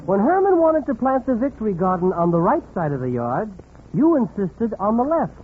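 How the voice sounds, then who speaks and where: An American actor playing a wry, friendly comic narrator who addresses the listener directly in 1940s radio comedy style. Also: male voice, high voice, big pitch swings, moderate pace. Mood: gently teasing. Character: wry friendly observer of married life. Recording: thin, muffled, noisy 1940s radio.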